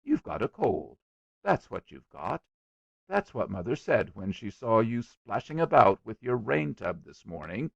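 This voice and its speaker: A man's voice: lowish voice, big pitch swings, measured pace, gravelly, no room sound, muffled recording, heavily acted. A man voicing a tender, naive little girl in a story, asking childlike, earnest questions. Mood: puzzled, blunt.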